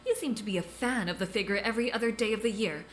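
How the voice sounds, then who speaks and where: A woman's voice: medium pitch, fast, slight background noise, natural voice. A woman playing a blunt, scornful doctor who trades dry, cutting sarcasm. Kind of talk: audio drama acting.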